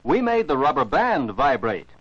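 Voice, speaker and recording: A man's voice: mid-range voice, wildly swooping pitch, moderate pace, a bit bright, slight background noise. An American man narrates scripted science for children as an educational film narrator, in a didactic, carefully enunciated way. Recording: dull, dry 1949 film soundtrack.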